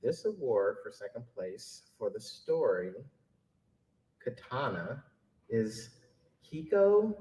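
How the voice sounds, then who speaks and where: A man with a medium-pitched voice presents awards at a live event. He talks casually, without a script, as a judge would. The room gives his voice a clear echo. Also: sing-song, takes it slowly, long pauses, warm tone. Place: public library event room, in front of an audience.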